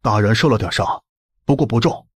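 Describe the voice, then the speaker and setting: Man acting a husky, deferential servant. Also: lowish voice, animated, fairly quick, dry recording, clean studio sound. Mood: anxious, deferential. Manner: respectful. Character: deferential, anxious male servant reporting to his mistress. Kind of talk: audiobook drama acting in Mandarin.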